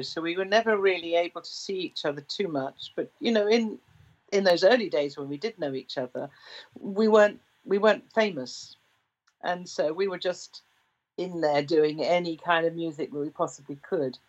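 Low-voiced woman in her sixties, rambling. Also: moderate pace, lo-fi sound. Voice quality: deep baritone singing voice. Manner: rambling, with frequent restarts. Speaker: singer and interview guest. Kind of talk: relaxed conversational storytelling.

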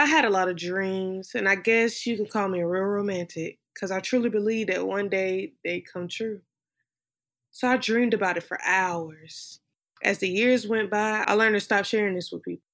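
Woman doing a wistful dreamer lady, film monologue, reflective and drawn out, medium pitch. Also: normal speed, fairly bright tone, lightly acted. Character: wistful woman dreamer recalling lost hopes of stardom. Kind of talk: dramatic film monologue reading.

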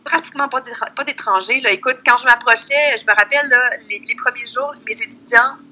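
A woman's voice: on the high side, a little fast, sharp tone, poor audio. A woman with a Québécois accent from the Lac-Saint-Jean area, chatting informally and animatedly as she tells stories as an interview guest. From Quebec, Canada. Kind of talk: casual conversational storytelling.

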